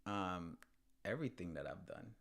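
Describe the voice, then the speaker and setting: A man's voice: sits low, animated, normal speed, vocal fry, fairly bright tone. A man co-hosting a podcast in casual, slangy talk with a hint of a Jamaican accent, repeating phrases for emphasis. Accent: Jamaican-tinged. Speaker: podcast co-host.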